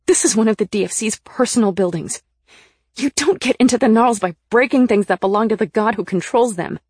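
A woman's voice: medium pitch, normal speed, dark tone, breathy edge, no echo, studio quality, close to an everyday voice. A woman voicing an urgent, bossy young female adventurer in an audiobook, emphatic and insistent.

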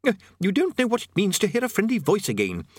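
A man's voice: high-pitched, huge pitch swings, quick pace, no room sound, clean studio sound, pitched above the performer's own voice, heavily acted. An elderly storybook prisoner, as a man narrator plays him, speaks in a raised, lilting voice. He is good-natured and a little worried, choosing hesitant, courteous, old-fashioned words. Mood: relieved, friendly. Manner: polite, hesitant, quaintly formal.

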